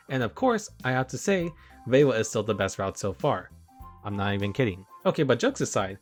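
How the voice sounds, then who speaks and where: Youngish guy reviewing a game, hyped, voice swings up and down a lot, bit of music under. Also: medium pitch. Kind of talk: enthusiastic review commentary. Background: background music.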